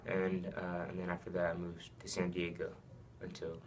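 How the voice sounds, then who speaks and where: A man's voice: deep voice, monotone, normal speed. A young man, a student athlete from Saipan raised in Japan, answering interview questions casually with many ums and likes. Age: young adult.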